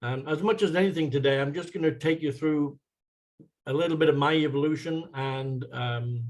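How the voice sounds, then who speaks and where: A man in his sixties from the UK with an English accent softened by years in America, giving a measured online talk with frequent pauses and repeated words. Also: fairly high pitch, low-quality recording. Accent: English with a slight American tinge. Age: 60s. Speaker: guest speaker, sustainability consultant.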